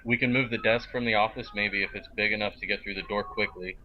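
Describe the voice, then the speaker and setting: A man playing a live role-play game over online voice chat, haltingly describing his actions and often restarting. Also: fairly low pitch, steady tone. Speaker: tabletop role-play player. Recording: dull lo-fi online voice chat, noisy.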